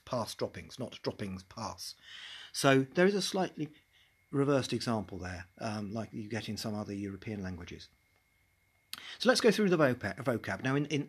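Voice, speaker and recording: A man's voice: mid-range voice, breathy edge. An English man hosting a podcast, talking through a book chapter in a chatty, musing way with many restarts. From England. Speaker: podcast host.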